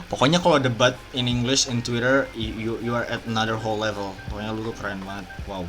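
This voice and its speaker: A man's voice: lowish voice, steady tone, fairly quick, fairly bright tone, soft background music. A young man co-hosting a podcast in casual chat, switching between Indonesian and English mid-sentence. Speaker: podcast co-host.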